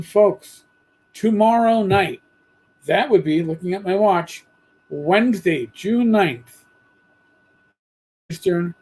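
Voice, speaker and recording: Hoarse man, husky, fairly high voice, blunt rambling podcast host. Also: darkish tone, poor audio. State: hoarse, losing his voice. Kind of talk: casual monologue.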